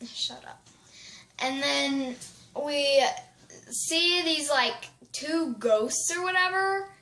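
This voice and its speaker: Woman, kinda high voice, slow rambly story, says like a lot, echoey room. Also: pushed and bright. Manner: rambling, leans heavily on "like". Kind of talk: casual storytelling chat. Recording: roomy echo.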